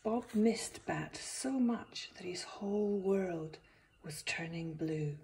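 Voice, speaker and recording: A woman reading a picture book aloud to young children as its author, expressive, with gentle asides. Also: fairly low pitch, slow, vocal fry, a little hiss or hum.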